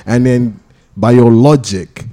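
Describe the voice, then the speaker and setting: A man's voice: medium pitch, slow, dark tone. A man from Nigeria who hosts a podcast, arguing his point emphatically in a casual debate, repeating and restarting his words. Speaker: podcast host.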